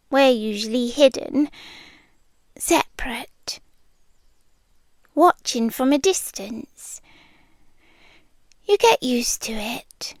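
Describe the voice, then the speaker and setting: A British woman playing a shy, anxious, lovestruck monster from under the bed in an intimate ASMR roleplay, speaking softly and awkwardly, often in a whisper. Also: high-pitched, no room sound, studio quality, heavily acted. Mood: wistful.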